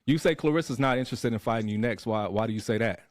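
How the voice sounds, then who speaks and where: A man's voice: mid-range voice, fast talker, no echo, clean studio sound. A man co-hosting a boxing podcast, teasing and laughing in casual chat. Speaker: podcast co-host.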